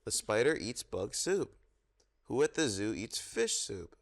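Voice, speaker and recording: A man reading a children's picture book aloud, pausing to prompt listeners for guesses. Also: mid-range voice, big pitch swings, vocal fry. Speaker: storybook reader at a children's story time.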